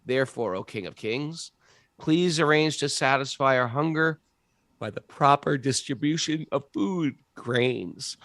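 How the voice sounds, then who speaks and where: A man reading aloud the plea of starving citizens to their king, in an earnest, gravelly voice. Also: medium pitch, unhurried, fairly bright tone, no echo. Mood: pleading.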